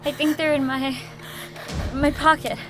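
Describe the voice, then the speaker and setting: Urgent, uneasy young woman, high voice, horror radio play with clear music bed. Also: level tone, a little slow. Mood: tense. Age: young adult. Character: anxious, urgent young woman fleeing monsters. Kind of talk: audio drama acting.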